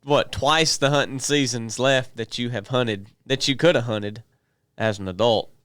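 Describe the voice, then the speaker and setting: A man's voice: medium pitch, measured pace, a bit bright, smooth, no room sound, studio quality. A man co-hosting a podcast, ribbing a friend with wry remarks in casual chat. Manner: wry, teasing. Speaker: podcast co-host.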